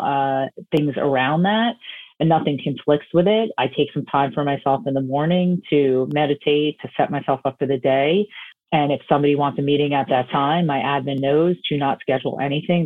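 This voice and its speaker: A woman's voice: low voice, no room sound. Self-assured woman on a tinny line. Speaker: guest, health coach. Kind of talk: podcast interview answers. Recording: tinny phone-line audio, dry.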